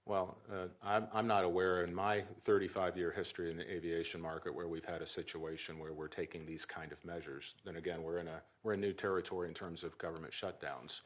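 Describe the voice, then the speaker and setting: Deep-voiced male official at a briefing. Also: steady tone. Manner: measured, official, frequent restarts. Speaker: aviation official at press briefing. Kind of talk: press briefing remarks.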